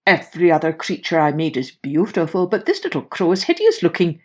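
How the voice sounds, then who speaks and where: A woman's voice: fairly low pitch, big pitch swings, a little fast, breathy edge. A woman voicing a haughty, imperious male Creator god in a children's storybook tale. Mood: disgusted. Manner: imperious, pronouncing.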